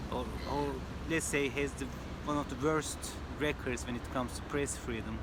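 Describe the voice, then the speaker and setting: A man with a Turkish accent, from Turkey and a native Turkish speaker, giving an earnest, measured personal commentary with frequent hesitations. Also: medium pitch. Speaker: video commentator. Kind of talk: personal spoken commentary to camera. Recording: outdoor traffic noise behind.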